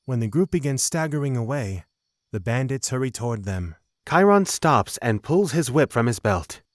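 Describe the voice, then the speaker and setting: A man's voice: medium pitch, sing-song, moderate pace, no echo. Male narrator for fantasy audio drama: mid-range, dry studio-style sound, scripted storytelling.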